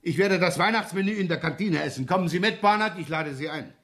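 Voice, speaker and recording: An actor playing a middle-aged police inspector in a radio crime drama, weary, gruff and dryly sardonic. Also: male voice, sits high, fast talker, fairly bright tone, long reverb tail. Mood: grumbling, sardonic. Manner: dry, grumbling, wry. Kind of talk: 1970s German radio crime drama acting.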